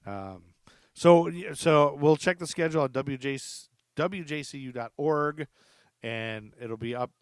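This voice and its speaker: Upbeat podcast host with a dry close sound. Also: male voice, fairly high pitch, unhurried, clean tone, no echo. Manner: upbeat, laughs easily, chatty with restarts. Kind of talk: casual chat.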